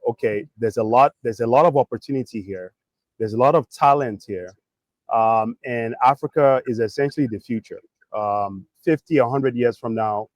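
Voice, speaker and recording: A middle-aged man from Nigeria speaking as an interview guest, reflecting on his career in a thoughtful, halting way with pauses mid-phrase. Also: mid-range voice, darkish tone, dry recording, highs cut off. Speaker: interview guest, tech executive.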